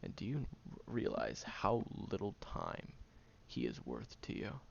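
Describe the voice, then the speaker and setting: A man playing a brash, defensive young man with a secretly caring side in an audio roleplay, speaking bluntly and haltingly in a low, gravelly voice. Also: wildly swooping pitch, exaggerated voice. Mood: earnest, reassuring. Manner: blunt, grumbling, sighing, halting delivery. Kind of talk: audio roleplay acting, one-sided dialogue addressed to the listener. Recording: dull home recording with faint noise.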